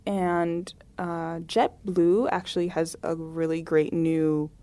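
A woman's voice: on the low side, flat delivery, slow pace, warm tone. A woman in her late twenties chatting casually as an interview guest, often peppering her talk with "like". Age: late 20s.

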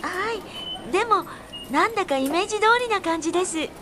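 A woman playing a shy, polite young female student in a radio drama, speaking gently and a little hesitantly. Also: extremely high pitch, animated, normal speed. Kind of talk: Japanese radio drama acting. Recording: clear background noise, outdoor ambience.

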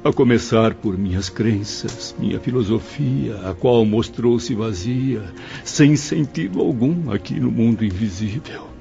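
An actor playing a grieving, remorseful son from the spirit world in a radio drama, speaking in an anguished, pleading way. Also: male voice, medium pitch, measured pace, gravelly, few pauses, dark tone. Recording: dull radio drama recording under music.

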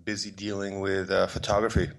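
A man's voice: low voice, monotone, fairly quick, gravelly. A middle-aged man from Poland, raised on the American East Coast, speaking as a podcast guest in a loose, rambling way with lots of fillers and restarts. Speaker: podcast guest, filmmaker and photographer. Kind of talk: relaxed conversational interview.

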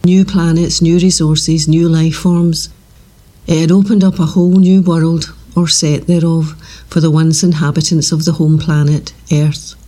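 A man reading a comic science-fiction story aloud in a steady, unhurried, wry narrating voice. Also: a little high. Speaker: narrator reading a submitted manuscript. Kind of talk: measured, dry sci-fi comic narration.

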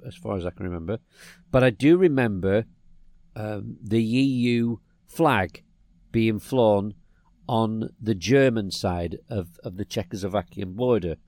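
A middle-aged man from England chats on a podcast and spins a meandering story full of restarts. His voice is warm and mellow. The sound is dry and close. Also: fairly low pitch, measured pace, no room sound. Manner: rambling storyteller, many restarts. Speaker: podcast co-host. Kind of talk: casual chat.

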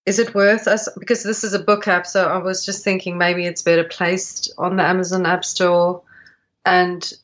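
South african woman, lowish voice, kinda hesitant, muffled call audio. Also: little pitch movement, moderate pace. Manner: hesitant, many fillers and restarts. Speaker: app developer asking for advice. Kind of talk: casual advice call.

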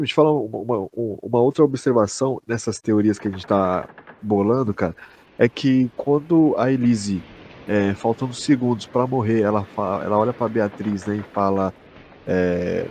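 A man's voice: on the low side, normal speed, darkish tone, a little hiss or hum. Brazilian man in casual podcast discussion. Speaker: podcast co-host. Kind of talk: casual chat, theorizing about a series.

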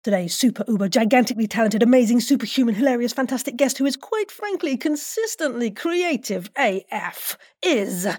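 A woman hosting a podcast interview in an excited, playful and gushing way. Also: on the high side, fairly quick, hardly any pauses, no echo, clean studio sound.

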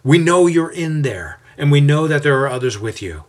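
A man voicing a scheming, smooth-talking mob leader in an audio story, speaking with calculated menace. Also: medium pitch, big pitch swings, moderate pace, voice pushed up. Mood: menacing. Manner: raised, calculated and coolly menacing.

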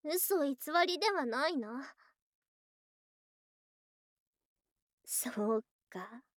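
A voice actress playing an affectionate snake-spirit wife in a Japanese ASMR voice drama, with archaic, coy, excitable speech and open devotion. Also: female voice, high voice, no echo, studio quality, full character voice. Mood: moved, devoted. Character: affectionate female lamia snake-spirit wife, girlish yet old-fashioned.

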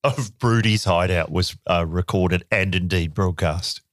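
A man's voice: sits low, a little slow, no echo, studio quality. A man hosting a casual podcast, prodding his guest with curious questions. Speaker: podcast co-host, interviewer.

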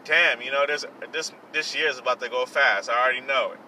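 A young man in his twenties delivers a heartfelt podcast monologue, circling back on his own words and leaning on fillers. His voice has a very bright, thin edge. He sounds a little off-mic, outdoors, with faint ambient noise behind him. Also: mid-range voice, steady tone, brisk, far from the mic, low-quality recording. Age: 20s. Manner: earnest, repetitive, self-reflective, with many fillers. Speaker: podcast host. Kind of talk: personal motivational monologue.

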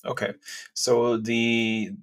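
A man from England hosting a podcast interview in a relaxed, chatty way with frequent fillers. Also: mid-range voice, very slow, clean tone. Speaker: podcast host. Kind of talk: casual interview chat.

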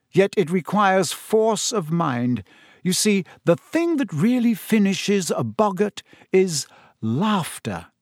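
A kindly, wry teacher, as a male narrator puts him on, speaks in a gentle, measured and amused way. His voice sits fairly high and swings widely in pitch, and the sound is close and dry. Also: no echo, exaggerated voice.

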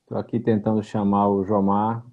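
A man's voice: lowish voice, flat delivery, normal speed, no echo, narrow, tinny audio. A man hosting a casual online live stream. He speaks hesitantly, often restarting and repeating words.

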